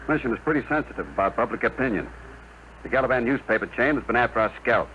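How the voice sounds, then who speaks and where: An actor playing a gruff, weary Air Force general with clipped delivery in a 1950s radio drama, on an old broadcast recording. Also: male voice, mid-range voice, fast talker, fairly bright tone, lightly acted. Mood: bitter, resigned. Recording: muffled, hissy 1950 radio broadcast.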